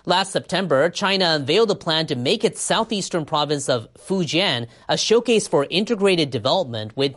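A man's voice: on the high side, a bit bright. A man narrating a scripted news report as a reporter.

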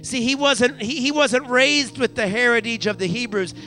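A young pastor preaches to his congregation in a church sanctuary. His voice sits high and sounds bright and forceful, with soft music under him. He repeats lines for emphasis and drops in hallelujahs as he builds. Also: normal speed. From United States. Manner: preaching with frequent hallelujahs and call-outs to the congregation; repeats phrases for emphasis, building to raised climaxes. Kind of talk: live church sermon. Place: church sanctuary during a live service.

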